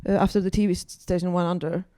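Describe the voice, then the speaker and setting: A woman's voice: fairly low pitch, fairly even intonation, quick pace, dark tone, no room sound, studio quality. A woman with an Icelandic accent, a guest comedian telling wry, self-deprecating stories in casual podcast chat, with many fillers.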